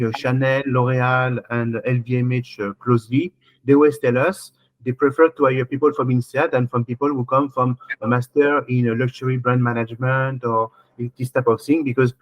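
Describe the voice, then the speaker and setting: A man's voice: medium pitch, no room sound, rough recording. Guy running an mba webinar on a call, rambly, fires questions twice, dry sound. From France. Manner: rambling, questions fired off in quick repeats. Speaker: MBA admissions coach hosting a webinar. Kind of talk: casual webinar Q&A. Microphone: video call.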